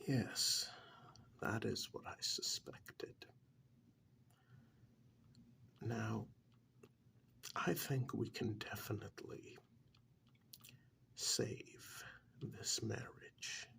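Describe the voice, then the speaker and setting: A man playing a calm, probing couples therapist in a soft-spoken ASMR role-play, measured and deliberate with a breathy voice, close to the microphone. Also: fairly low pitch, exaggerated voice. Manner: measured, deliberate, pausing between short phrases.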